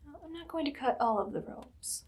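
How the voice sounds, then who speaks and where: A woman playing a haughty evil queen in an ASMR roleplay, speaking in a soft, breathy, often whispered voice with imperious, sweetly sinister deliberation. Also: high voice, expressive, brisk. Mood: condescending, commanding. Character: evil haughty queen, casually menacing, commanding a captive servant.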